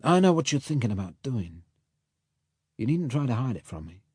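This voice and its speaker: A man voicing a rough Cockney boat mechanic who talks in blunt working-class slang. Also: medium pitch, very animated, normal speed, dark tone, no echo, clean studio sound, voice pushed up. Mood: earnest.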